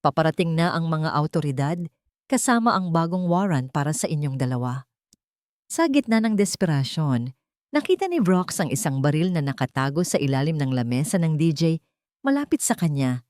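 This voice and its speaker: Dramatic female storyteller, lowish dry voice. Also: very animated, normal speed, darkish tone, dry recording. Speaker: audio drama narrator. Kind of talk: dramatic scripted story narration.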